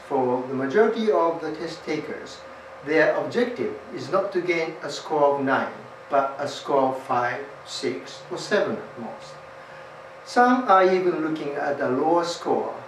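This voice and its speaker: A middle-aged man, a native Japanese speaker with a Japanese accent, giving a formal, measured scripted lecture as an English test tutor. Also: a little high, slight background noise. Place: small indoor studio. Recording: roomy, slightly distant sound.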